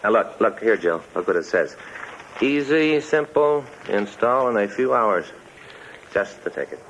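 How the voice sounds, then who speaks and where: A man's voice: fairly low pitch, animated, measured pace, a bit bright. A man acting a talkative, earnest policeman with an American accent, in folksy 1950s radio drama style. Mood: eager. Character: chatty, earnest homeowner policeman, enthusiastic and easily aggrieved. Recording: muffled old radio recording, faint noise.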